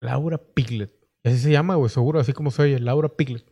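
A man's voice: mid-range voice, quick pace, dark tone, husky. A man with a Mexican accent hosting a live stream, rambling and joking crudely in slangy banter. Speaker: streamer host.

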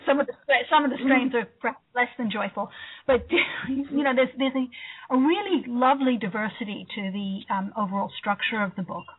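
A woman interviewer with a slightly high, husky voice asks long, winding questions with soft laughs. The sound comes through a narrow, phone-like band. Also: fairly quick. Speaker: radio interviewer.

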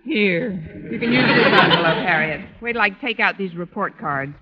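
Actress as a wry schoolteacher on noisy old radio. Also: female voice, mid-range voice, moderate pace, muffled recording, lightly acted. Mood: dry, patient. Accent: American. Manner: deadpan, sardonic wisecracking. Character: wry adult woman high school teacher, dryly lovelorn. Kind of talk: 1940s radio sitcom acting.

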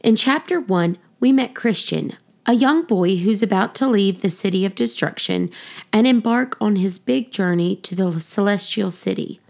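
A woman's voice: medium pitch, normal speed, vocal fry, darkish tone. A woman reading scripted children's story narration and explanation, in a teaching manner. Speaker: storybook reader and podcast host.

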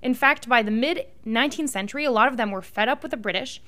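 A woman co-hosting a scripted history podcast, explaining facts in a brisk way. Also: a little high, sharp tone. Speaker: podcast co-host.

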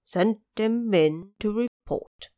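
A woman voicing a solemn male ruler of the heavens, measured and commanding, in an audio drama. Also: mid-range voice, warm tone, no echo, studio quality, natural voice.

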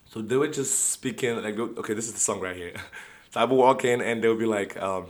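A man's voice: fairly low pitch, brisk. A young man telling personal stories in a rambling way, with many restarts and frequent laughter. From Nigeria. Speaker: vlogger. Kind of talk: casual storytelling.

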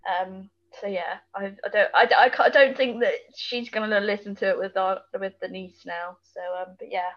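A woman's voice: mid-range voice, steady tone, moderate pace, few pauses, highs cut off. A British woman co-hosting a podcast, bantering casually in a chatty, informal and teasing way. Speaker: podcast co-host. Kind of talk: casual banter about a TV episode.

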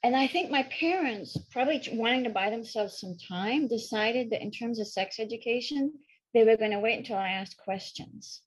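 A woman's voice: fairly high pitch, normal speed, husky, low-quality recording. A middle-aged woman from France, raised bilingual, telling her story as a therapist guest in a frank, matter-of-fact way. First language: French and English, bilingual. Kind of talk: storytelling interview answers.

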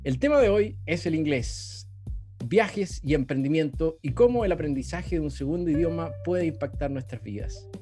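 A woman's voice: sits low, lively intonation, normal speed, dark tone, soft background music. A woman, hosting and moderating an online talk, welcoming the guests with some hesitations. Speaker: host of the talk series.

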